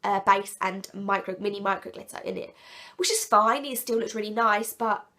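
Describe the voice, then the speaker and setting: A woman with a sick, croaky and blocked-up voice, chatting enthusiastically and rambling a little as she reviews products in a casual vlog. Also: mid-range voice, normal speed, sharp tone. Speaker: nail polish vlogger.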